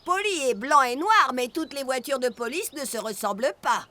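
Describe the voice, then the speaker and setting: A woman's voice: high-pitched, animated, normal speed, bright, forceful tone. A woman playing a cheerful, curious presenter who teaches young children in an educational cartoon. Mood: informative.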